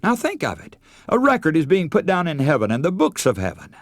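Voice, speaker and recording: A man's voice: fairly high pitch, huge pitch swings, fairly quick, no echo. An older man preaching a radio sermon, telling stories in an earnest way and calling his listeners friends.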